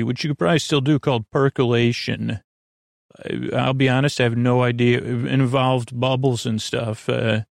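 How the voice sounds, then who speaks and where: A man hosting a bedtime podcast in a gravelly voice, meandering haltingly through repetitive, digressive thoughts that trail off mid-sentence. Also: medium pitch, no room sound, clean studio sound. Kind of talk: rambling, meandering bedtime monologue.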